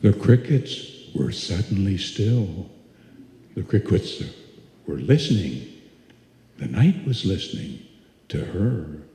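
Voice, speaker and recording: Man as slow, ominous radio narrator in echoey hall. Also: low voice, big pitch swings, dark tone, exaggerated voice. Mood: hushed suspense. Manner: deliberate, dramatic, repeating phrases. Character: ominous storyteller of a suspense tale. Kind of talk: dramatic old-time radio narration. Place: live stage performance in a hall. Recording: echoey hall, long reverb.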